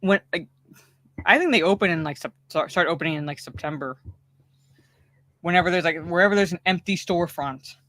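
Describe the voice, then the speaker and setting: Lady streamer, blunt and annoyed, cusses a lot, kinda low voice. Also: brisk, long pauses, a bit bright, no room sound. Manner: blunt, swears a lot, exasperated ranting. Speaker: co-host and streamer. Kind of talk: casual chat on a stream.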